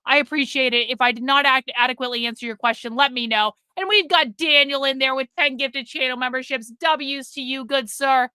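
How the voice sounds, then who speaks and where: A woman's voice: high-pitched, brisk, few pauses, pushed and bright, clean tone, no echo. A woman in her forties hosting a livestream, reading viewer super chats and giving blunt, profane commentary. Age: 40s. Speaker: livestream host.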